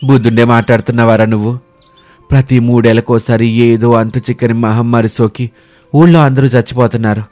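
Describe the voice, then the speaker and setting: A man's voice: medium pitch, fairly even intonation, fairly quick, darkish tone, close to an everyday voice. A man voicing an earnest, selfless villager on a quest, rustic and righteous in manner. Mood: indignant, resolute. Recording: music bed behind the voice.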